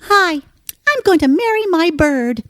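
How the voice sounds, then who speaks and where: A woman's voice: extremely high pitch, sing-song, slow pace, a bit bright, no echo, exaggerated voice. A woman playing a chirpy, eccentric therapy patient in a comedic audio drama, perky and earnest. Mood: perky, oblivious.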